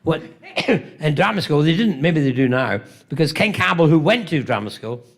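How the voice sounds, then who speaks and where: A man's voice: fairly high pitch, animated, moderate pace. An older man from Britain, a guest at a convention Q&A, telling rambling, playful anecdotes with self-mocking asides, now and then coughing from a tickle in his throat. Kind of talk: convention Q&A storytelling. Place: convention hall stage.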